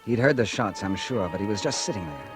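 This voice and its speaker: A man's voice: expressive, fairly quick, lightly acted. An actor playing a bitter, vengeful man who narrates his story in a 1940s radio drama. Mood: brooding. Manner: brooding first-person narration, low and confiding. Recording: old radio broadcast with music.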